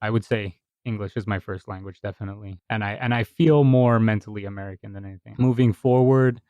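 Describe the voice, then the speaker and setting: A young man from Hawaii talks casually as a podcast guest, rambling a bit and laughing often. His voice sits fairly low. The recording is dry and close, with no room sound. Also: fairly even intonation, fast talker, no room sound, phone-quality sound. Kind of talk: casual conversation.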